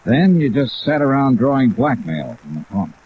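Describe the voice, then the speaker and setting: Man playing an animated hard-boiled radio investigator. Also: mid-range voice, normal speed, slight background noise, close to an everyday voice. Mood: stern, accusing. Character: hard-boiled male insurance investigator, wry and stern. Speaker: series star and narrator. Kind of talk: 1950s radio detective acting and narration. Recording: muffled 1950s radio with rain overlaid.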